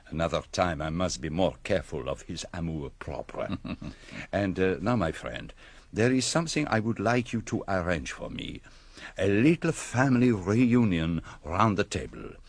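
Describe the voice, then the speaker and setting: A man's voice: sits low, very animated, unhurried, creaky, no room sound, full character voice. An actor playing a courteous, shrewd foreign detective with a French accent, politely hesitating over his English in a radio drama. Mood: sly, amused.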